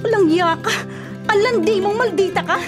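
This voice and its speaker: An actress playing a stubborn, scolding, superstitious elder sister in a radio drama. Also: female voice, extremely high pitch, moderate pace, music in the background. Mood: angry, scolding. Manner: insistent, scolding.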